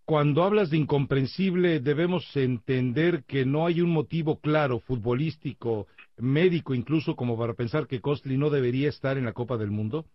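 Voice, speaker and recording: A man's voice: mid-range voice, normal speed, no room sound, muffled recording. A man hosting a radio sports interview, asking long and formal questions.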